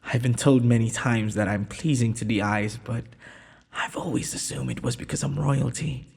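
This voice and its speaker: A man playing a gentle, courteous young prince in a romantic audio roleplay, speaking formally and a little hesitantly. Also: mid-range voice, moderate pace. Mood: pleased, welcoming. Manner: formal, polite, breathy speech with hesitations. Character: gentle, courteous young underground prince, nervous, earnest suitor. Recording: long hall-like reverb.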